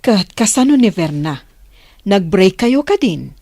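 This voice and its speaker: A woman's voice: medium pitch, big pitch swings, unhurried, mellow, no room sound. A woman playing an opinionated middle-aged mother questioning her grown son in a radio drama. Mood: probing, disapproving.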